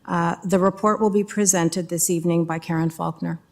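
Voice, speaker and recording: A woman introduces a report to a board meeting, reading prepared remarks in a measured, formal delivery. Her voice sits a little low for a woman. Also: fairly even intonation. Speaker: school board director.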